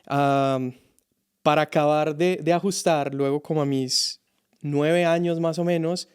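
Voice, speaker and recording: A man from Colombia, a youth pastor telling his story as a podcast guest, reflective and halting. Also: a little high, steady tone, smooth. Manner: reflective, halting with many restarts. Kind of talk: casual podcast conversation.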